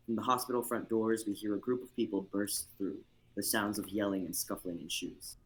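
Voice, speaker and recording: Young guy reading stage directions on a stream, lowish voice, bit of background noise. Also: steady tone, fairly quick, rough recording. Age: young adult. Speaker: host and narrator. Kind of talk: scripted narration of a screenplay on a livestream.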